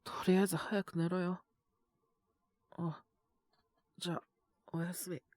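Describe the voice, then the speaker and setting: A woman's voice: lowish voice, little pitch movement, darkish tone, no echo. A woman playing a weary, curt young male teacher. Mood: reluctantly caring. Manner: weary, brusque, sighing, drawn-out muttering. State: feverish, coughing. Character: tired, sick, curt young male teacher, secretly caring. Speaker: voice actor. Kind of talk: Japanese situation-voice audio drama.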